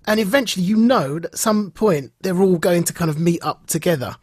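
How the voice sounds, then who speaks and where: Husky man chatting, close and dry. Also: high-pitched, fairly quick, dry recording. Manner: animated storyteller, repeats phrases for emphasis. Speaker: podcast co-host. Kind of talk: casual chat recounting and reviewing a show.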